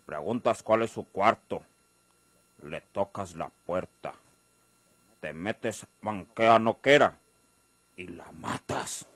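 A ruthless, commanding rural Mexican boss. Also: male voice, fairly low pitch, lively intonation, takes it slowly, pauses often, fairly bright tone, dry recording, full character voice. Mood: cold, menacing. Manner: commanding, menacing, blunt. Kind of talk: Mexican radio drama acting.

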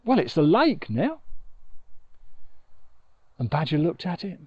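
A middle-aged man telling a children's story expressively, with dramatic pauses, recorded outdoors on a lapel mic. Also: a little high, sing-song, breathy edge, dry recording, dull audio. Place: outdoors on an open riverbank. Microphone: lapel mic with furry windshield.

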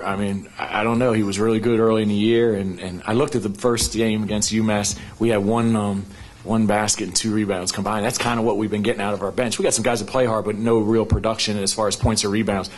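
Fast-talking middle-aged male coach. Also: fairly low pitch, level tone. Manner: rapid, with frequent "you know" fillers and restarts. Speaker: basketball head coach. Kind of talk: post-game press conference answers. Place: press conference room at a sports arena. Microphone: table microphone on a stand.

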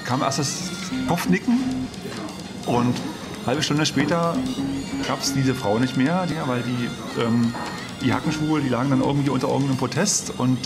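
A man giving a spontaneous interview recollection, in a halting, colloquial and blunt way. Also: medium pitch. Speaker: interviewee recalling the techno scene. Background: loud music.

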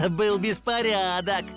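Slow-talking, cheerful cartoon puppy. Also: mid-range voice. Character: cheerful, curious young puppy boy. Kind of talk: animated cartoon dub.

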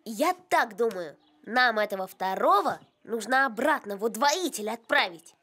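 A woman voicing a calm, sensible young farm boy in a cartoon, with a gentle, thoughtful, measured manner. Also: on the high side. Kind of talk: animated cartoon voice acting.

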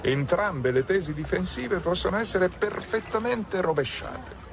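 A man's voice: high voice, measured pace, vocal fry. An actor playing a courteous male public prosecutor in a radio drama, speaking expressively. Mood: troubled, confiding. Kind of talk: Italian radio drama acting.

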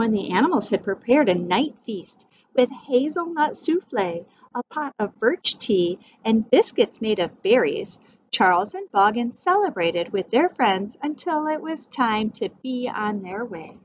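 A middle-aged woman teacher reading a storybook aloud to young children, expressive and addressing them directly. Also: on the high side, unhurried. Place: small indoor room with whiteboard. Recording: dull sound, faint background noise.

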